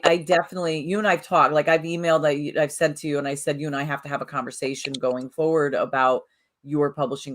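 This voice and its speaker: Low-voiced woman chatting in rambling restarts. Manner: rambling, many restarts and "you know". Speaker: podcast co-host. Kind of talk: casual spiritual chat.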